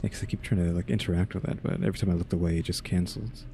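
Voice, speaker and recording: A man's voice: low-pitched, steady tone, very fast, gravelly, dark tone. A man streaming a game, talking casually as he plays and reading dialogue choices aloud over game music. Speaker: game streamer playing a story quest.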